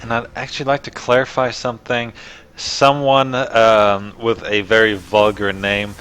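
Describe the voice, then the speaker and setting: Low-voiced man casting a live game. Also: a little slow, smooth, poor audio. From Germany. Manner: relaxed, conversational, thinks aloud with fillers. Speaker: esports caster.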